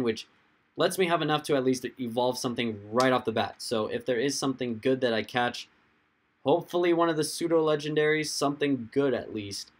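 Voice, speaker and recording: A young man with a hoarse, fading voice, hosting a casual livestream, rambling and answering viewers' chat comments as they come in. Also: medium pitch. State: hoarse, losing his voice. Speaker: livestream host.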